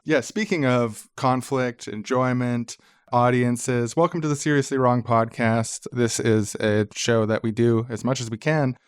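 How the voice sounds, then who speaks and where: A man's voice: mid-range voice, fairly even intonation, unhurried, smooth, dry recording, studio quality. A man co-hosting a podcast, bantering casually and comedically with a wry, mock-serious delivery. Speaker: podcast co-host.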